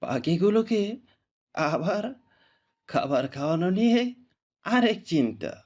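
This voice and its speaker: Guy doing a tired poor dad in a cartoon, highish voice, grumbles, resigned. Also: big pitch swings, measured pace, darkish tone, dull audio. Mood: weary, resigned. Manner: grumbling, fatalistic. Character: weary, poor bird-hunter father, worried and resigned. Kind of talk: cartoon voice acting.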